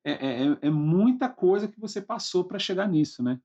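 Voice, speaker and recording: Husky Brazilian man hosting, slightly dull recording. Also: high-pitched, animated, moderate pace, mellow. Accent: Brazilian Portuguese. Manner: encouraging, informal. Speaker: podcast host. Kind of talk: casual conversational interview. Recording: dull lo-fi sound, faint music.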